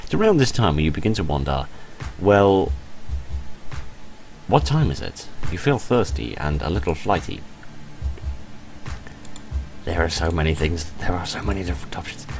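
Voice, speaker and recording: A man's voice: sits low, lively intonation, moderate pace, pauses often, a little mellow, poor audio. A man reading a visual novel aloud as a game host, mixing playful, dramatic delivery with casual commentary. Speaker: host of a game playthrough channel. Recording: music behind the voice. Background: game music.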